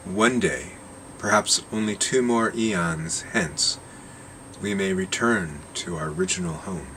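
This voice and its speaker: Man voicing a stately interstellar court, low and unhurried. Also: pushed and bright, recorded from a distance, a little hiss or hum, rough recording. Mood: solemn. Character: solemn ruling of an interstellar court.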